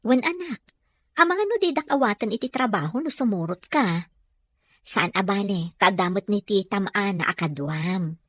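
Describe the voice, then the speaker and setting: A woman with an Ilocano accent playing a gentle, struggling young mother looking for work in a Filipino radio drama. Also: mid-range voice, expressive, normal speed, dry recording. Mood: gentle, explaining.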